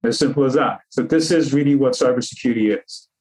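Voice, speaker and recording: A man's voice: mid-range voice, darkish tone, poor audio. Iran-born man explaining as interview guest. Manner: explanatory, measured, lists terms. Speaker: cybersecurity consultant guest. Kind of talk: interview answers over video call. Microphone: video call.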